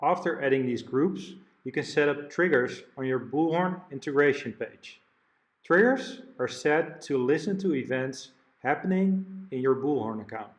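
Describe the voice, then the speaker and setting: A man narrating a step-by-step software demo, explaining each screen in turn with the odd restart. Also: medium pitch, expressive, moderate pace. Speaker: product demo presenter.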